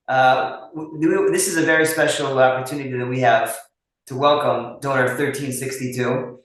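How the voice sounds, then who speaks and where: A man's voice: medium pitch, fairly even intonation, normal speed, a bit bright, heavy reverb, poor audio. A man hosting a webinar, talking in a rambling way and stacking up repeated questions. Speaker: webinar host. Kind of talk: webinar interview.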